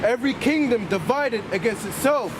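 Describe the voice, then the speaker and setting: A man with a London accent reading scripture aloud in a measured way outdoors. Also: extremely high pitch, lively intonation. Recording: outdoor traffic noise.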